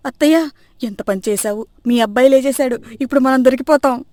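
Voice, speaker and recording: A woman's voice: high-pitched, brisk, darkish tone, dry recording, lightly acted. A woman voicing a cheeky, mischievous young wife and daughter-in-law in a comic story, teasing and exclamatory. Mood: excited, alarmed.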